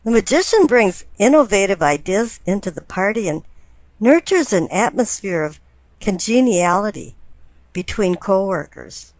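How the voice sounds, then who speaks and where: A woman giving a tarot card reading, explaining it deliberately, phrase by phrase. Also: medium pitch, expressive, no echo. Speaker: tarot reader.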